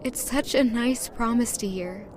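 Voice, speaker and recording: An actress plays a hopeful, smitten young woman in an audio drama. Her voice sits on the high side and carries an earnest, wistful tone as she confides her thoughts. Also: a little slow, background noise, close to an everyday voice. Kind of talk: audio drama narration and dialogue.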